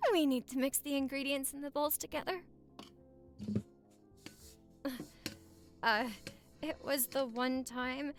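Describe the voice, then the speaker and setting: Woman acting a high-voiced, flirty newlywed wife. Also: steady tone, measured pace, soft background music. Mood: flustered. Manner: teasing, flirty banter with sighs and giggles. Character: playful, flustered, lovestruck newlywed wife. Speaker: audio roleplay performer. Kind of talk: romantic audio roleplay acting, intimate chat to the listener.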